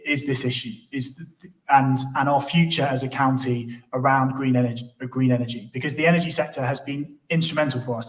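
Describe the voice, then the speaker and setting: A man speaking as a candidate at a hustings, emphatic and repeating phrases to press his points. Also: medium pitch. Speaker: parliamentary candidate. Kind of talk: hustings speech. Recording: roomy, distant from microphone.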